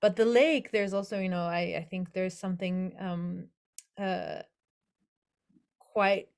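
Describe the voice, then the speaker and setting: A young adult woman speaks in a relaxed interview, feeling her way through her answers. She hesitates often and restarts her sentences, sounding reflective rather than polished. Her voice sits at an everyday middle pitch. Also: slow pace. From Netherlands. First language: English as first language, also Hebrew and Dutch. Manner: thoughtful, hesitant, many fillers and restarts. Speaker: author. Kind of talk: casual interview conversation.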